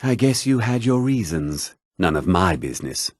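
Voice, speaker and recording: A man's voice: low voice, sing-song, normal speed, darkish tone, dry recording, studio quality. An actor voicing a haughty, sneering townsman in a fantasy video game, condescending, smug and theatrical in manner. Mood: dismissive. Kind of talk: video game combat and reaction barks.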